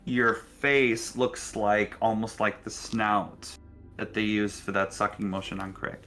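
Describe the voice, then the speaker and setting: Guy running a tabletop game, slow dramatic narration, bright voice, faint music behind. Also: fairly low pitch, steady tone. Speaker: game master.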